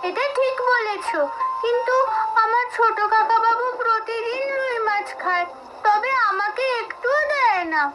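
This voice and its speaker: A woman playing a sweet, plaintive little village girl in an animated folk tale. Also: very high-pitched, measured pace, over loud music, rough recording. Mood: wistful. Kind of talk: Bengali cartoon dialogue.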